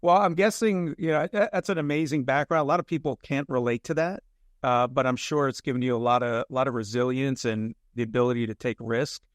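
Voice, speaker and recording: A middle-aged man hosting a podcast interview in a friendly, measured way, with frequent "uh" and "um". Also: mid-range voice, steady tone, no echo, studio quality. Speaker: podcast host.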